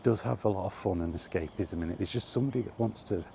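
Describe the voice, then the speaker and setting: Low, mellow man, weary rambler. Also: quick pace, gravelly, a little hiss or hum. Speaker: vlogger. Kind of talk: freewheeling casual monologue. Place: walking while talking, ambient noise behind.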